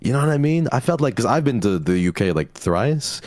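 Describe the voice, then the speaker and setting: A man's voice: medium pitch, big pitch swings, brisk, darkish tone, no room sound. A man guesting on a stream in casual chat, talking in a chatty way full of fillers.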